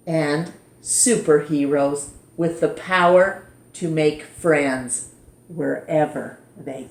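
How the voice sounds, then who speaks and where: A woman reading a children's picture book aloud in an expressive, deliberate storytime manner. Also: fairly low pitch. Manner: animated, deliberate, dramatizes dialogue for young listeners. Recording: roomy echo.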